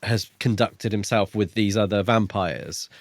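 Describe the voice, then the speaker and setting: A man's voice: fairly low pitch, unhurried, vocal fry, no echo. A man co-hosting a podcast, chatting casually and informally about a book. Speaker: podcast co-host. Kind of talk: casual book discussion chat.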